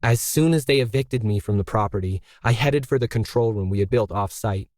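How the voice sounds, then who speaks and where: A man voicing an earnest woman character who explains things calmly in a story reading. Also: lowish voice, a little fast, no room sound, studio quality, lightly acted.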